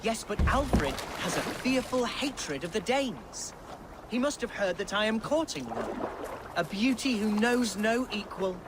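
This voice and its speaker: A man's voice: high-pitched, sing-song, measured pace, noisy background. A man playing a melodramatic, naive young nobleman in a video game, florid and theatrical in his delivery. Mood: earnest, florid. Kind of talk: video game cutscene acting.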